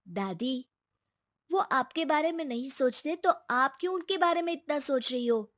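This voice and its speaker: Woman voicing a high-pitched, earnest young boy. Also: expressive, normal speed, fairly bright tone. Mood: protective. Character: young grandson, earnest, stubborn, devoted to his grandmother. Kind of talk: scripted animated moral story dialogue.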